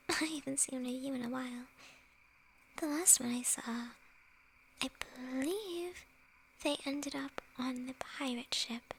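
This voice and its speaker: A woman voicing a sly, flirtatious witch in an ASMR fantasy roleplay, teasing in a soft, breathy whisper. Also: high voice, slight background noise, low-quality recording. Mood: teasing, playful. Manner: slow, teasing, with soft chuckles.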